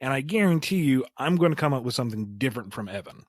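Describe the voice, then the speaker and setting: A man's voice: medium pitch, lively intonation, fast talker, dry recording, studio quality. A man explaining things casually as a writer.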